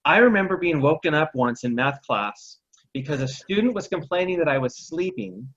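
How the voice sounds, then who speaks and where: A man's voice: fairly high pitch, animated, moderate pace, fairly bright tone, husky. A man from Texas, a guest on a podcast, telling his story reflectively with frequent restarts. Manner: reflective, many restarts and self-corrections. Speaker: guest entrepreneur and coach. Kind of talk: casual interview storytelling. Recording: slightly dull lo-fi sound.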